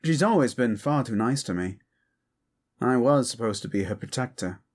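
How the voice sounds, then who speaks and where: An actor playing a guilt-ridden, vengeful prince in an audio drama, earnest and subdued. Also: male voice, medium pitch, expressive, moderate pace, darkish tone, lightly acted. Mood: brooding, guilty. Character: brooding wounded prince, guilt-ridden and vengeful, protective of sister.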